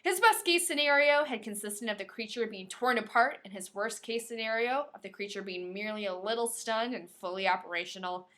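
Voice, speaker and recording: A woman with a fairly high voice hosts a podcast, reading fiction aloud in an expressive audiobook style. She is playful and slips easily into casual joking asides. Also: a little fast, few pauses.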